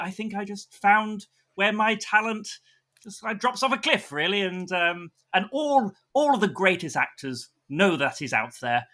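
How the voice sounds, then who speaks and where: Self-deprecating actor, played by a man, high and sharp-toned, stumbling earnestly through a mockumentary interview. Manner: halting, repetitive, insistently accepting.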